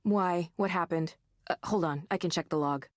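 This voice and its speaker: An actress playing a pragmatic, matter-of-fact tech staffer in a visual novel, explaining things casually. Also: female voice, fairly low pitch, moderate pace, no echo, clean studio sound, lightly acted. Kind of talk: naturalistic visual novel voice acting.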